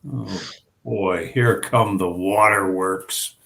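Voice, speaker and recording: A man's voice: deep voice, fairly even intonation, slow pace, gravelly, a bit bright, exaggerated voice. A man playing a grumpy, indifferent husband who is dry, curt and sarcastic, in a staged play reading. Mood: weary, exasperated.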